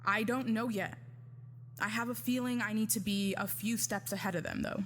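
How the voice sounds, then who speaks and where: An actress playing a guarded, self-reliant veteran agent in an audio drama. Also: female voice, medium pitch, steady tone, moderate pace, fairly bright tone, natural voice. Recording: hall reverb, faint hum.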